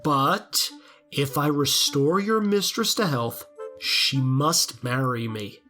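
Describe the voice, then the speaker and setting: A poor old glassblower voiced by a woman with a low pitch and lively swings in intonation. The delivery is formal and earnest, in theatrical audio drama style. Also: slow, soft background music, full character voice. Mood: insistent.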